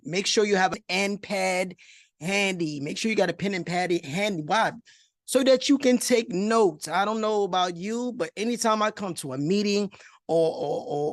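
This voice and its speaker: High-voiced middle-aged New York man hosting. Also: dry recording, studio quality. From New York, United States. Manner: emphatic, repeats phrases, checks in with listeners. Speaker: host of an online investing masterclass. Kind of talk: motivational webinar presentation. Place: online video call.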